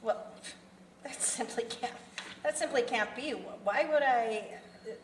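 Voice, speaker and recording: A formal, evasive female serial killer runs her meeting like a business as acted by a woman at a script table read. Her delivery is halting and businesslike. The sound comes from across an echoey room with faint noise. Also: a little high, recorded from a distance, close to an everyday voice. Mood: flustered. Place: indoor festival venue table read.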